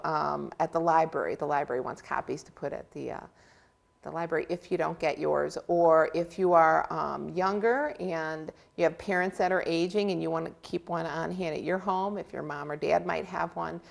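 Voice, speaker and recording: Gravelly low-voiced woman. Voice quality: big voice. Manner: chatty, upbeat, laughs often. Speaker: guest from a county office for older adults. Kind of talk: casual interview talk.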